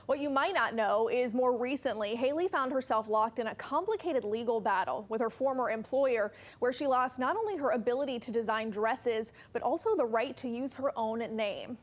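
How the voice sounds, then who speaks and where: A woman's voice: fairly high pitch, normal speed, few pauses. A woman with an American accent reading scripted narration as a television news feature reporter.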